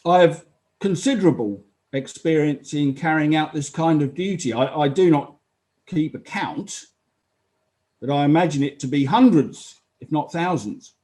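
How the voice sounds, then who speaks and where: A man playing a sober, earnest Roman army officer giving halting, measured testimony in a dramatized inquest. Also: fairly high pitch, a little mellow, lightly acted. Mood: matter-of-fact. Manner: halting, measured, solemn witness delivery.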